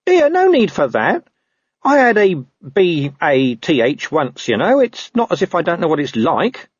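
Man voicing a scruffy, high-pitched, husky talking dog. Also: wildly swooping pitch, measured pace, no room sound, dull audio, full character voice. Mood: matter-of-fact, defensive. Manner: colloquial, hesitant, working-class phrasing. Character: scruffy male talking dog, wheedling, streetwise, tactfully awkward. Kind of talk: audiobook character voice.

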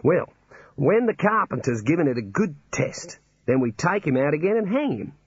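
A male narrator doing chatty aussie jailer, husky, upbeat, muffled audio. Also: fairly high pitch, very animated, moderate pace, no room sound, pitched above the performer's own voice. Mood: jovial. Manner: breezy, matter-of-fact about grim things. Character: cheerful older male prison warder, jovial, chatty.

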